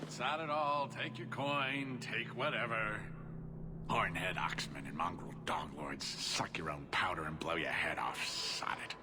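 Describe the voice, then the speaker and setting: A man's voice: fairly low pitch, measured pace, music in the background, close to an everyday voice. A man playing a shifty, spiteful, cowardly dwarf merchant in a video game, blustering and grumbling. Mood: bitter, defeated. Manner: blustering, stammering under pressure. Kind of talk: video game cutscene acting.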